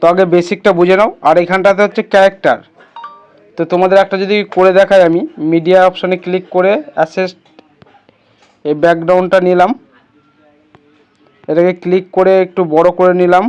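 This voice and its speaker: A man's voice: high voice, level tone, a little fast, long pauses, darkish tone, clean tone, muffled recording. A man hosting a casual step-by-step tutorial, instructing his viewers like friends. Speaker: tutorial host.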